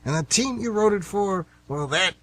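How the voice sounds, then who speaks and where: A man's voice: high-pitched, expressive, unhurried, a bit bright, full character voice. A man playing a folksy, nostalgic older baseball fan who rambles emphatically in an amateur radio play. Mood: nostalgic, animated.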